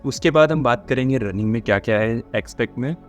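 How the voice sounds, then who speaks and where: A man presents an informal, explanatory update in a mid-pitched voice. He drops English words into his speech as he addresses his audience of candidates. Music plays behind him. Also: quick pace. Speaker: host of a job updates channel.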